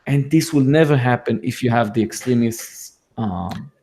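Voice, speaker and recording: A man with an Israeli accent, a guest in a casual chat, who speaks haltingly with restarts and fillers. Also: mid-range voice, gravelly, mellow, a little hiss or hum. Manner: halting, many restarts and fillers, laughs between points.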